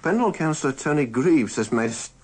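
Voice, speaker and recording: A man's voice: a little high, animated, brisk, husky. A man reading the news on the radio as a newsreader.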